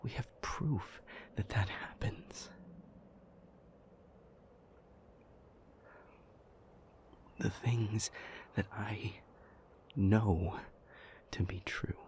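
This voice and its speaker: A man playing an intense, anguished demon in an intimate audio roleplay, speaking in breathy, halting, deliberate phrases. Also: fairly low pitch, darkish tone. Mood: grave, pondering. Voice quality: breathy, mostly whispered. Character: intense, anguished male demon, brooding and reasoning aloud.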